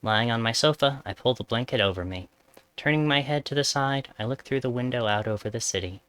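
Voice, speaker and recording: A man reading a visual novel's first-person story aloud as live narration, with loud game music behind him. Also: mid-range voice, fairly quick, clear, resonant tone.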